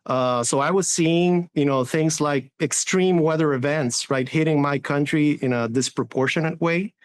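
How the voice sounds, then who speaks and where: A man's voice: a little high, normal speed, smooth. A man in his forties from Honduras, answering interview questions in an earnest way, often restarting and adding "right?". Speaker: sustainability executive guest.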